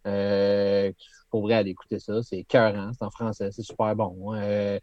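A man's voice: lowish voice, flat delivery, moderate pace, a little mellow, no room sound, dull audio. A man with a Québécois accent, a musician guest talking casually with lots of fillers and some laughter. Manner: chatty, laughing, many fillers. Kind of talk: casual interview.

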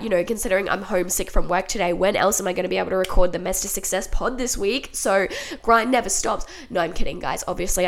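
A woman hosting her podcast from home while sick with a cold, her nose blocked and her throat sore, so she sounds deeper and huskier than usual. She talks in a chatty, upbeat way and keeps addressing her listeners as guys. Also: creaky. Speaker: podcast host and life coach. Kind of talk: solo podcast monologue. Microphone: home podcast mic.

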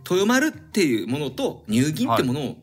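A man's voice: on the high side, huge pitch swings, moderate pace, breathy edge, faint music behind. A middle-aged man co-hosting a casual podcast, mostly backing the others up with short agreeing replies. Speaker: podcast co-host. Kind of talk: casual chat.

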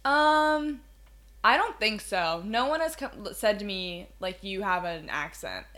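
A young woman from Maryland co-hosting a podcast, chatting in a relaxed way and laughing often. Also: medium pitch, takes it slowly. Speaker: podcast co-host. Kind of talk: casual podcast chat.

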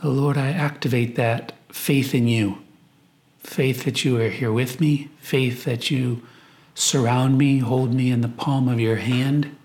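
A man speaking as a priest, guiding a meditation with prayer and scripture in an unhurried, reflective manner. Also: medium pitch, fairly even intonation, darkish tone. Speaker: priest leading guided prayer. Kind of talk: guided meditation, spoken prayer and scripture reading.

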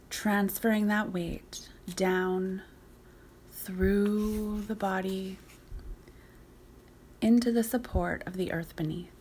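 Meditation teacher, female, mid-pitched. Breathy, near-whispered delivery, soothing and unhurried guided narration. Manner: soothing, unhurried, gently instructive. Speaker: yoga nidra teacher.

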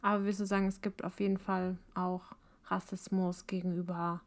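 A woman's voice: on the low side, flat delivery. A young woman interviewing a guest in casual talk, encouraging and often murmuring agreement. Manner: encouraging, quick to nod along. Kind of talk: casual conversation.

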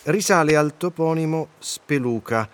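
A man's voice: a little high, unhurried, close to an everyday voice. A man acting in a radio drama as an eager, pedantic guide, didactic and fussy, declaiming what he reads. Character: eager, bookish male guide, pedantic and easily flustered. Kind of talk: radio drama dialogue with read-aloud guide text.

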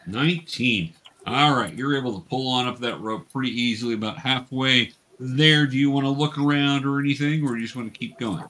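A man's voice: mid-range voice, brisk, bright, forceful tone. A man acting as game master, narrating a tabletop game and explaining options to the players.

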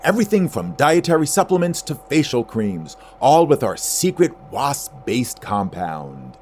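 Slick marketing newcomer: eager, very lively pitch, campy audio drama. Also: male voice, unhurried, slight background noise.

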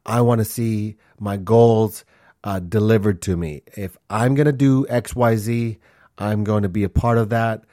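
A man's voice: fairly low pitch, fairly even intonation, a little slow, mellow, dry recording, studio quality. A man in his forties, born in Honduras and raised in Minnesota, hosting his own podcast in an earnest, rambling way, full of fillers and restarts. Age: 40s. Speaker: podcast host. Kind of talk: casual solo podcast monologue.

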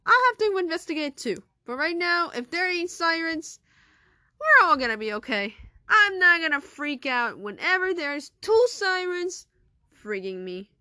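A high-voiced school principal, acted out by a woman storyteller, bossy and emphatic. The figure repeats orders and is quick to take fright, as in a homemade spoken story. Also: very animated, pushed and bright, no echo, studio quality, heavily acted. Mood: flustered, firm. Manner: emphatic, repetitive announcements.

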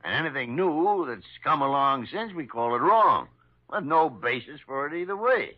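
An actor playing a crusty, folksy older doctor in a 1950s radio drama, arguing and philosophizing with gruff wryness. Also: male voice, a little high, lively intonation, normal speed. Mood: argumentative, reflective. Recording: dull lo-fi 1950s radio recording.

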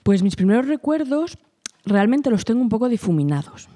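Soft, mellow-voiced reserved woman. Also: a little high, big pitch swings, fairly quick. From Spain. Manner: quiet, reserved, thoughtful. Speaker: guest, journalist. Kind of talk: interview answers.

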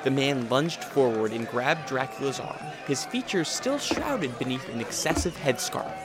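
A man's voice: medium pitch, very animated, moderate pace, music in the background, a crowd in the background. A man narrating a scripted radio drama in a storytelling style.

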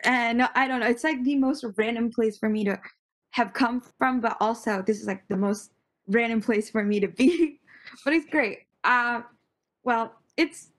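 A young American woman, a podcast guest, chatting casually with bubbly enthusiasm and frequent laughter. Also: on the high side. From United States (born Virginia, raised Connecticut).

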